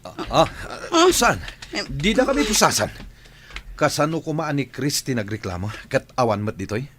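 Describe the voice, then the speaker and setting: Animated, evasive husband. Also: male voice, medium pitch, normal speed, slight background noise. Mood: defensive, protesting. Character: evasive, defensive cheating husband in radio drama. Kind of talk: radio drama acting.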